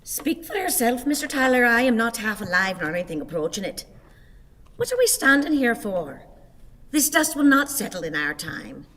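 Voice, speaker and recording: A woman's voice: fairly high pitch, very animated, normal speed, a bit bright. A woman acting a weary, querulous, gloomy old wife in a radio play, with theatrical complaint. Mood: bitter, despondent. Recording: hissy, reverberant hall recording.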